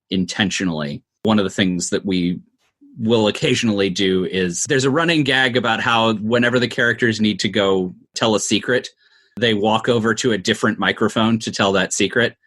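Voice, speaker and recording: A man's voice: on the low side, fairly bright tone. A man giving thoughtful, conversational answers as an interview guest, explaining things with frequent asides. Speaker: interview guest, audio drama maker.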